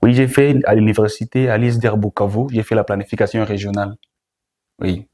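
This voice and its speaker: A man's voice: fairly low pitch, little pitch movement, a little fast, mellow. A young man with a Congolese French accent answering interview questions, often repeating words and phrases. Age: young adult. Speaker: guest, gospel musician.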